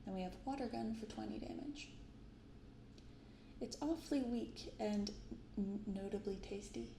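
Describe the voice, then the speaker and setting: A soft-spoken woman hosting an ASMR video, reading aloud and commenting casually in a gentle, quiet delivery. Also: mid-range voice, normal speed, vocal fry. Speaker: host of a card-opening channel. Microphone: binaural microphone. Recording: roomy sound with some background noise.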